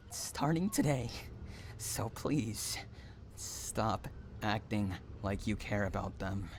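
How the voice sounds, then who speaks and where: Man acting slow-talking obsessive stalker, noisy recording. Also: medium pitch. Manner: cooing endearments mixed with threats. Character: obsessive, unhinged male stalker kidnapper, possessive and menacing lover. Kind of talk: dramatic roleplay monologue addressed to the listener.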